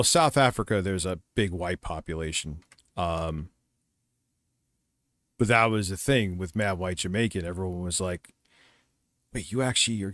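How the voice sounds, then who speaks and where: Middle-aged male streamer, low voice, dry close sound, rambling and profane. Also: fairly bright tone, dry recording. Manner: profane, meandering, reminiscing. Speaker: streamer reacting to another stream. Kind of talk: casual rambling commentary.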